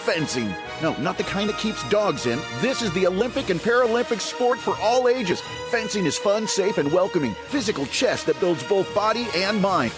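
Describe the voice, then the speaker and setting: A man's voice: sits high, lively intonation, moderate pace, hardly any pauses. A man reading a playful, upbeat radio advertisement. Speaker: ad announcer. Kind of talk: scripted radio ad. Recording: ad with loud music.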